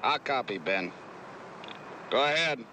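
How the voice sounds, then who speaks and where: A man playing a steady military co-pilot in a 1960s drama, with terse checklist delivery. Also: mid-range voice, takes it slowly, slight background noise. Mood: businesslike. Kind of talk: 1960s television drama acting.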